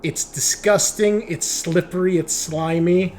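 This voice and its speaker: A man's voice: high-pitched, steady tone, measured pace, a little mellow, faint music behind. A man running a tabletop roleplaying game, improvising descriptive narration and calling out rules. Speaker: game master.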